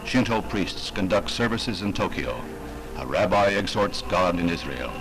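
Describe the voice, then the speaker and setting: Deep-voiced American man, solemn vintage newsreel narrator. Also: expressive, rough recording. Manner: grave, formal, measured oratorical delivery. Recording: vintage 1960s newsreel soundtrack. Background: music.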